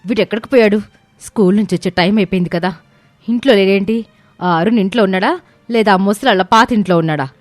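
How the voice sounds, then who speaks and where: A woman's voice: mid-range voice, fast, no room sound, faint music behind, lightly acted. A woman voicing an arrogant, angry young mother in a family story, scolding and accusing. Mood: irritated, worried. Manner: scolding, accusing, rhetorical questions. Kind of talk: dramatized Telugu family story acting.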